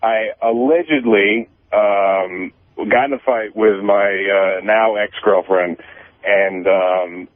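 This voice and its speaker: A man's voice: lowish voice, steady tone, no room sound. Tinny phone-line man, rambling caller. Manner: halting, scattered, hesitant rambling. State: drinking vodka. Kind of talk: rambling casual chat. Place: phone call from a parking lot.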